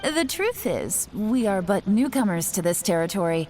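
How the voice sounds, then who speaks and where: A woman voicing a calm, polite young woman investigator in a video game who poses under cover and sometimes speaks in a mock-formal way. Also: medium pitch, moderate pace, a little hiss or hum, lightly acted.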